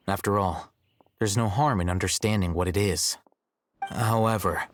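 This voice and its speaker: An actor playing a calm young male scholar in a video game, speaking in a measured, formal, detached way. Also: on the low side, lightly acted. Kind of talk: video game story dialogue. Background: game background music.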